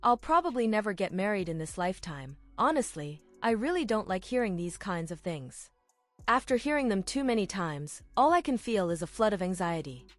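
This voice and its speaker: A woman's voice: medium pitch, sing-song, normal speed, no room sound, faint music behind. A woman giving a translated voice-over in an earnest, confiding way.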